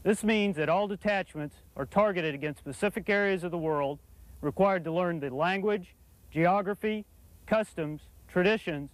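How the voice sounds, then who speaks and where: A man's voice: sits high, dry recording, rough recording. A man giving a formal, scripted military briefing in a rehearsed, declarative manner. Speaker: military presenter introducing his unit.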